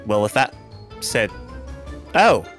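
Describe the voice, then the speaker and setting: A man's voice: fairly low pitch, big pitch swings, slow, a bit bright, soft background music, heavily acted. A man playing a formal, wordy magic-school professor in a Minecraft roleplay. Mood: formal, brisk. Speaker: professor character.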